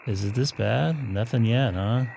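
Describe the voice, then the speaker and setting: A man's voice: mid-range voice, a little slow, creaky, background noise. A man hosting a ham radio live stream, chatting casually and poking fun at himself. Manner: self-deprecating, rambling. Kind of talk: casual on-air chat.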